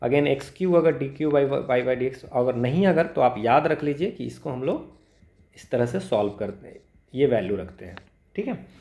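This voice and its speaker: A man's voice: mid-range voice, expressive, normal speed, gravelly, mellow. An Indian man teaching maths informally, explaining step by step, switching between Hindi and English with frequent check-in tags. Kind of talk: informal exam lesson, explaining worked equations step by step. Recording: echoey, roomy sound.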